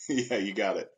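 A man answering as a podcast guest in a casual, hesitant way with many filler pauses. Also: lowish voice.

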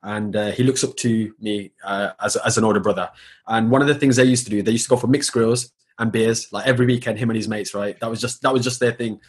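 Fast-talking, sincere male guest. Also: lowish voice, steady tone, breathy edge. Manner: reflective and sincere, with filler "like" and "um". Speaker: podcast guest. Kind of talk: casual interview chat.

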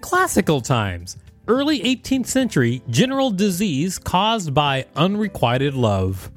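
A man's voice: on the high side, wildly swooping pitch, measured pace, faint music behind. A man co-hosting a podcast, reading an article aloud with playful, jokey asides. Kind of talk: casual read-aloud with asides.

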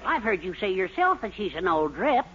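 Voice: mid-range voice, big pitch swings, moderate pace, a bit bright. An actor playing a meek, henpecked husband, hesitant and mildly protesting, in a 1940s radio comedy. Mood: timid protest. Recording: muffled, hissy 1940s radio recording.

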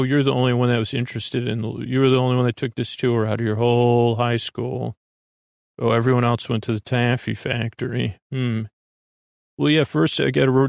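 A male podcast host with a mid-pitched voice full of gravelly fry, recorded very dry. He delivers a low-key bedtime monologue that wanders off on tangents, hesitating and restarting as he goes, with a self-deprecating streak. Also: no echo. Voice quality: gravelly, creaky. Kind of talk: rambling, unscripted bedtime monologue.

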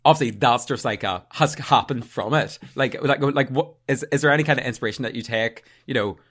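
A young man hosts a relaxed podcast at an average pitch. He asks long questions that wander and are full of fillers, and he laughs easily along the way. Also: brisk. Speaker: podcast host. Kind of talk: casual podcast interview.

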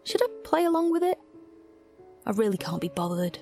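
A woman's voice: medium pitch, big pitch swings, fairly quick, dark tone. A woman playing a reflective, wry young heroine, narrating her own story intimately in an audio drama. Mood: wry, assured. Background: music.

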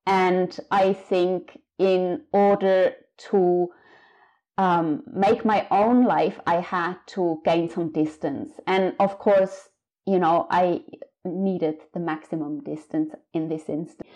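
A woman's voice: on the low side, steady tone, slow, warm tone. Reflective Swiss-born woman, author guest. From Switzerland. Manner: reflective, hesitant with fillers. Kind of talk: casual interview conversation.

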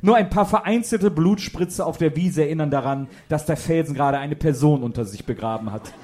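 A man's voice: fairly high pitch, a little fast, darkish tone. A man reading scripted narration for a comedic audio drama.

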